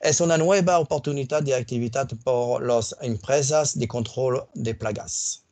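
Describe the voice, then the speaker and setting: A man speaking Spanish as a second language with a foreign accent, presenting a technical webinar in a slow, halting way with frequent fillers. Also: mid-range voice, a little mellow, no echo. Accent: non-native Spanish. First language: not Spanish.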